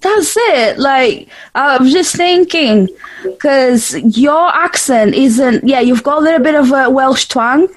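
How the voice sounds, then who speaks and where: A Portuguese woman in her thirties with a Yorkshire tinge, hosting a podcast over a video call. Her voice sits fairly high and sounds dry and close, and she chats freely, laughing often and restarting her sentences. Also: takes it slowly, no echo, low-quality recording. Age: 30s. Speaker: podcast host. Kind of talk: casual conversational interview.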